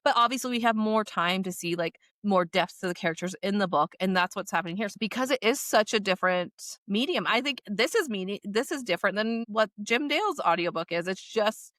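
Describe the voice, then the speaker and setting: Woman podcast co-host, medium pitch, conversational and chatty, very dry sound. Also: animated, a little fast, hardly any pauses, a bit bright, no room sound. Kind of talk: casual podcast discussion.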